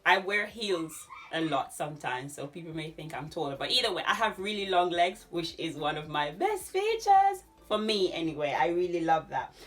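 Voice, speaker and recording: A woman in her thirties with a Jamaican accent, chatting casually to camera in an animated way as she answers questions. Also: lowish voice, normal speed, hardly any pauses, soft background music. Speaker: YouTube vlogger. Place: small furnished room at home.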